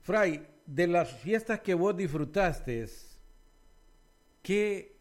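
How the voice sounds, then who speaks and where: Radio host guy, kinda high voice, jokes around with guests. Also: lively intonation, drawn-out words. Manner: friendly, teasing, calls guests profe and hermano. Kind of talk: casual radio interview.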